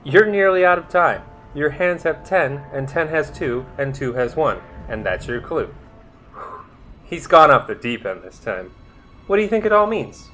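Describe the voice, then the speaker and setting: A man's voice: fairly high pitch, lively intonation, normal speed, a bit bright, faint music behind. A man playing a wry, inquisitive young masked sidekick in a radio drama, with a casual way of talking. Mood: reading aloud.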